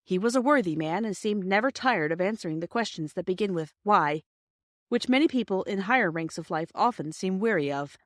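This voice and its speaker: A woman's voice: mid-range voice, lively intonation, fairly quick, hardly any pauses, no room sound, studio quality. A woman reading a storybook aloud as scripted narration. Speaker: audiobook reader.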